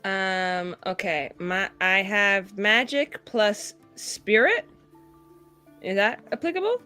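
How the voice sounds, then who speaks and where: Animated woman playing a tabletop game. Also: medium pitch, slow, smooth, faint music behind. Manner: expressive, exclamatory. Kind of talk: casual, improvised game chat.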